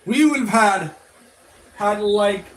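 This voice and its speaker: A man with a high-pitched voice talks through his opinions in a casual review vlog style. His delivery is slow and halting, with drawn-out words. He circles back to repeat and restart phrases as he rambles. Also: fairly bright tone, low-quality recording. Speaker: toy and media review vlogger.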